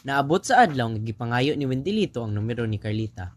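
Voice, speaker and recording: A man's voice: mid-range voice, very animated, fast talker. A man with a Cebuano accent narrating a radio drama in a scripted storytelling manner.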